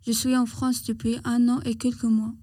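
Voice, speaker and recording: A woman's voice: a little high, little pitch movement, moderate pace, dark tone, slightly rough, no room sound, studio quality. A woman, a language student, answering questions in an interview.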